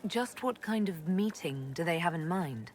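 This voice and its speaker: A woman's voice: on the low side, expressive, moderate pace, lightly acted. A woman voicing the frank, questioning leader of a fantasy order in a video game, in a direct and skeptical manner. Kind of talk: video game dialogue acting. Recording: clear background noise, game ambience.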